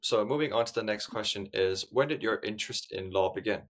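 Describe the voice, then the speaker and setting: A man's voice: on the low side, a little fast. A young man from Hong Kong hosting an interview podcast, asking polite, scripted-sounding questions. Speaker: podcast host.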